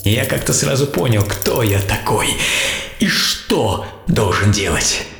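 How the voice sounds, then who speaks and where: A man playing a lonely, misunderstood English teenage artist in an introspective first-person radio monologue, speaking in halting dramatic phrases. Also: fairly low pitch, very animated, gravelly, a bit bright, full character voice. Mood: intense, reflective. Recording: music bed behind studio narration.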